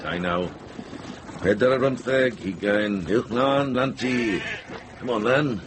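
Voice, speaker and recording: A man playing a wise, wry older medieval monk in a radio drama, composed and unhurried in manner. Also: mid-range voice, background noise, lightly acted. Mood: wry, fond.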